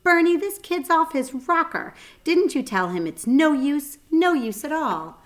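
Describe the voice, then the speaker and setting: A woman voicing an excitable, motherly female animal in a children's storybook, exclaiming and repeating phrases for emphasis. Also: sits high, a little slow, pitched above the performer's own voice. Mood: surprised scolding. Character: Lady Sue, excitable scolding motherly female tree-climbing animal. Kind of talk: children's storybook read-aloud.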